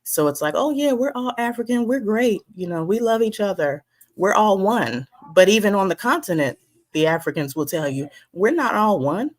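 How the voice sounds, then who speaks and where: A woman's voice: medium pitch, expressive, moderate pace. A woman speaking as a guest in a passionate way, now and then mocking the voices of others. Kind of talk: passionate talk.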